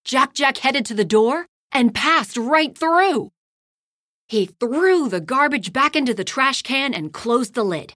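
A woman reading a children's picture book aloud, hushed at the bedtime lines and louder in the action. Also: high voice, no room sound, clean studio sound. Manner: slow, lively storytelling, hushed at bedtime lines and loud in action. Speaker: storybook reader.

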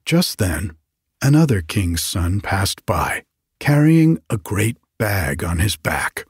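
Slow, husky man reading a bedtime story. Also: sits low, sing-song, no room sound, clean studio sound. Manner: unhurried, hushed, with long pauses between phrases. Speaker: podcast host reading bedtime stories.

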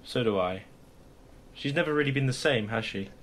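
An actor playing a pompous, fussy, excitable posh man who stammers, in a comedy audio drama. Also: medium pitch, moderate pace, slight background noise, natural voice. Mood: sheepish, subdued.

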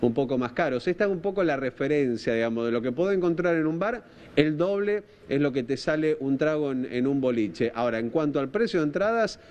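A man's voice: a little high, expressive, a little fast, a little mellow, highs cut off. Hesitant Argentine man reporting live. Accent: Rosario Argentine. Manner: informal, hesitant, repeats words while thinking. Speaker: TV field reporter. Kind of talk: live unscripted news reporting. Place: outdoors at night by a park. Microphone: handheld microphone, through a face mask.

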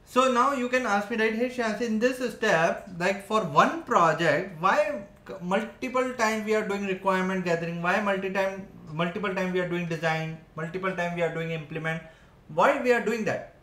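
A man in his thirties explaining software methods at a whiteboard, often checking in with "right?" and "okay". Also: high voice, moderate pace. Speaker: software engineering teacher. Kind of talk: whiteboard tutorial. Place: indoor room at a whiteboard. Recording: echoey room.

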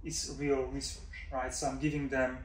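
A man's voice: medium pitch, little pitch movement, normal speed. A man in his forties who grew up in Denmark, a professor answering interview questions thoughtfully, with many fillers and "right?" checks. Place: office room, indoors. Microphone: far camera mic. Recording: roomy echo from far off, faint hum.

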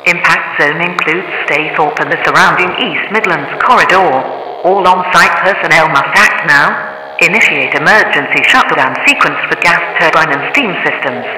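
A male announcer gives formal emergency instructions in an extremely bright, forceful, slightly high voice. It echoes authoritatively as if through a large hall. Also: moderate pace, a little hiss or hum.

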